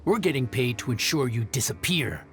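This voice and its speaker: A man's voice: medium pitch, animated, moderate pace, close to an everyday voice. A man voicing a smug, mocking male smuggler henchman in a video game, with a taunting, sneering delivery. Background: game music.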